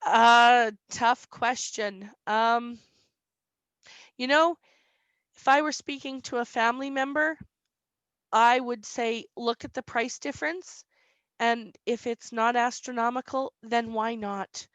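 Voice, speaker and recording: A woman insurance broker answering questions in a webinar, explaining plainly with frequent "um" and a slightly squeaky voice. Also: fairly even intonation, slow, fairly bright tone, no echo.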